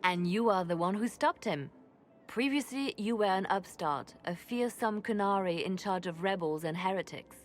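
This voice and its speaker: An actress playing a composed, courtly fantasy advisor, speaking in a measured, formal way. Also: female voice, medium pitch, a little hiss or hum, lightly acted. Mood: composed, solemn. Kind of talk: dramatic game dialogue.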